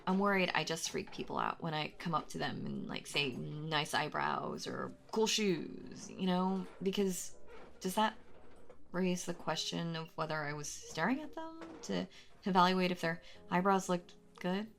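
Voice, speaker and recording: Woman acting shy awkward girl in audio roleplay, slow nervous rambling, bit of vocal fry, stammers a lot. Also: lowish voice, big pitch swings, exaggerated voice. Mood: anxious rambling. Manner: hesitant, stammering, nervously giggly, self-doubting. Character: very shy, anxious, rambling adorkable young female stranger in cafe. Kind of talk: intimate audio roleplay, rambling chat addressed to listener.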